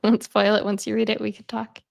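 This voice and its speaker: This is a woman in a relaxed, rambling conversation, her voice often dropping into a gravelly fry. She sounds slightly congested and pads her sentences with fillers. She talks from home, sitting in bed. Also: medium pitch, brisk. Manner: chatty, rambling with many fillers. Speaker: host. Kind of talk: casual chat.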